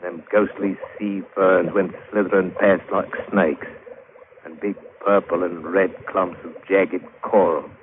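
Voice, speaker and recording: A man's voice: sits low, level tone, unhurried, a little hiss or hum. An actor playing a weathered sailor telling his sea tale in colloquial dialect, in old radio drama style. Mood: brooding, nostalgic. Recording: tinny old radio recording with music.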